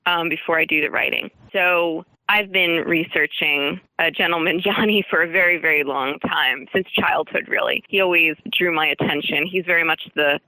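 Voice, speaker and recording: A woman's voice: fairly low pitch, normal speed, few pauses, sharp tone, no room sound, low-quality recording. A woman speaking earnestly and articulately as a guest author in an interview, with emphatic phrasing. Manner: earnest, articulate, emphatic, frequent light chuckles. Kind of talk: interview about writing historical fiction.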